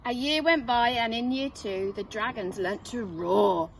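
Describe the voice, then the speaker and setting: High-pitched woman reading a children's story. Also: measured pace, vocal fry. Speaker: head teacher reading aloud. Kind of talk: expressive children's storybook narration. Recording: distant mic, faint noise.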